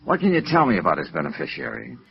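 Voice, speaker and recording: An actor playing a wry, hardboiled, middle-aged insurance investigator in a clipped, matter-of-fact 1950s radio noir style. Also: male voice, very deep voice, huge pitch swings, fast talker, vocal fry, husky, exaggerated voice. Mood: dry, probing. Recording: muffled vintage radio recording with music.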